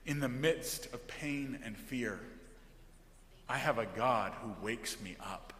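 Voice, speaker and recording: An American man preaching a sermon as a pastor, earnest and emphatic, with phrases that often trail off softly. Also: mid-range voice, slow. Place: large indoor church during worship. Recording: echoey church hall with long reverb.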